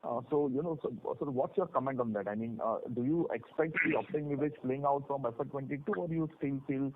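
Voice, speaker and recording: Over a thin telephone connection with slight noise, a man with an Indian accent puts questions as a financial analyst. His phrasing is halting and padded with hesitations, as on a conference call. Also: medium pitch. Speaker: securities analyst asking questions on an earnings call. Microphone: telephone conference line. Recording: narrow phone line with faint noise.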